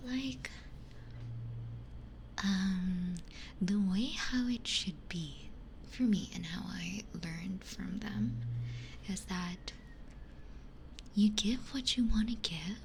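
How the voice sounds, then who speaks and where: A woman whispers in a hushed, breathy ASMR style, very close to the microphone. She chats casually and reflectively to stream viewers, with a light hiss of ambient noise behind her voice. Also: mid-range voice. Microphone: close binaural ASMR microphone.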